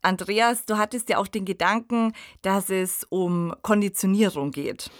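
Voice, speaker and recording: A woman's voice: medium pitch, unhurried, dry recording. Woman co-host, dry close sound. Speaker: podcast co-host discussing radio plays and books. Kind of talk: conversational literary discussion.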